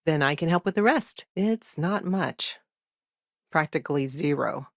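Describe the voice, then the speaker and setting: A woman playing a pragmatic, guarded lawyer in a video game, speaking in a measured, matter-of-fact way with dry wryness. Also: sits low, expressive, dry recording. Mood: dry, weary. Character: businesslike, wry female lawyer, pragmatic and guarded. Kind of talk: scripted game dialogue, conversational.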